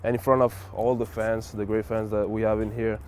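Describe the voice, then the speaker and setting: A man's voice: lowish voice, fairly quick, slight background noise. A young man from Spain, a college basketball player, answering interview questions casually and filling his talk with "like" and "whatever". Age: young adult. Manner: relaxed, many fillers like "like" and "whatever".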